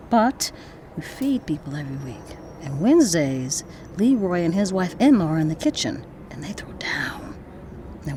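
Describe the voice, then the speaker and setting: Woman activist, played by an actress, bold, protective, coaxing vernacular, expressive delivery, faint background noise, audio drama. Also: mid-range voice, natural voice. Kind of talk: naturalistic audio drama acting.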